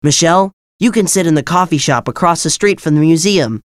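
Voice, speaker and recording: A man voicing a decisive young amateur sleuth in an audiobook, speaking clearly and purposefully. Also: a little high, brisk, no echo, studio quality, lightly acted. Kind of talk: audiobook character dialogue.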